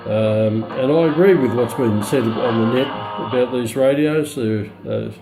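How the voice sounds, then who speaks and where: A middle-aged man giving an unscripted product demonstration in a halting, matter-of-fact way. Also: medium pitch, roomy sound, music in the background, rough recording. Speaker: presenter of a radio review. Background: shortwave radio broadcast playing.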